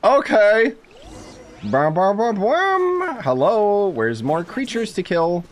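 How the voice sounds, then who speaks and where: A man's voice: high-pitched, big pitch swings, slow pace. A young man commenting on a video game as he plays, joking and sarcastic, often breaking into exclamations. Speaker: gaming streamer. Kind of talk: sarcastic let's-play commentary. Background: game music.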